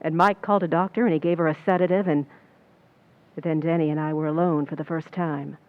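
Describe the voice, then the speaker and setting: A woman's voice: low voice, fairly even intonation, fairly quick, narrow, tinny audio, close to an everyday voice. A woman playing a cool, ambitious, scheming young Hollywood stand-in in an old-time radio suspense play, with hard-boiled, knowing delivery. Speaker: actress in a community playhouse radio play. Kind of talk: old-time radio suspense acting with first-person narration.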